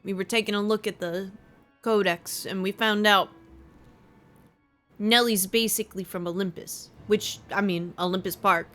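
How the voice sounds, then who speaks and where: A woman's voice: mid-range voice, steady tone, normal speed, a bit bright, faint music behind. A woman game streamer giving casual live commentary as she plays.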